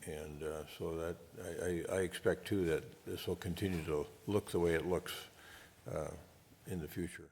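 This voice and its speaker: A man chairing a public meeting, talking in a relaxed, conversational way with halting restarts. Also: sits low, a little hiss or hum. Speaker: board chair.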